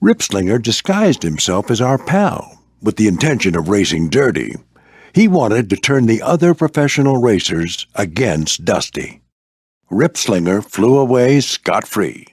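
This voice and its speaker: An old veteran warplane for an animated video game. He is a gruff but fatherly squadron mentor whose pitch moves up and down freely. His talk is folksy with clipped military turns, and the recording is dry and close. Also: unhurried, dry recording, heavily acted. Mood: storytelling. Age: older.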